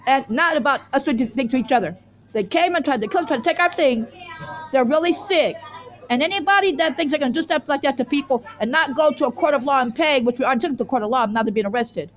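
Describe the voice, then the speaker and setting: A woman giving a rambling, unscripted religious monologue in an insistent, repetitive, disjointed way. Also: high-pitched. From Israel. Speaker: self-styled religious teacher introducing the weekly Torah portion.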